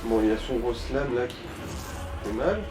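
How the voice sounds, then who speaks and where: Male gaming co-commentator. Mellow, mid-pitched, casual and slangy, advising the player over slight background noise. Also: moderate pace, reverberant. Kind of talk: casual gaming chat with tips.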